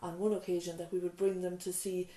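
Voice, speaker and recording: A woman's voice: on the low side, flat delivery, fairly quick, roomy sound, a little hiss or hum, rough recording. A middle-aged woman, a nurse and mother, telling her story in an interview with frequent restarts and asides. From Ireland. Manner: warm storytelling, frequent restarts and "you know". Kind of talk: reflective interview answers. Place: island nurse's surgery, small room.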